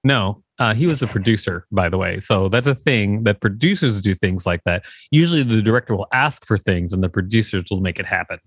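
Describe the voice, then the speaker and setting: A man's voice: lowish voice, expressive, brisk, hardly any pauses, dry recording. A man co-hosting a podcast, reading an article aloud and joking between passages. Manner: joking, laughs often. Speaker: podcast co-host. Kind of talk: reading aloud and casual chat.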